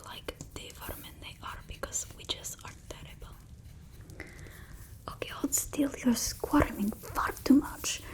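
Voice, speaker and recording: A woman's voice: sits high, measured pace. Woman playing a whispering, haughty vampire mistress. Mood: fussy, scolding. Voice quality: breathy whisper, soft voiced in places. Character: haughty fussy female vampire mistress instructing her familiar. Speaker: ASMR creator. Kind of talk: whispered ASMR roleplay.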